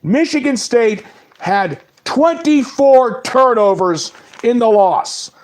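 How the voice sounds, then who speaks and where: A man with a fairly high voice and a cold runs through game scores and player stats in a loose, casual monologue. He reels off the numbers in quick lists. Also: expressive, a little hiss or hum. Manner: reads stats in quick lists, apologizes for mispronouncing names. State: has a cold. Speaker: sports talk host. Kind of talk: casual sports recap monologue.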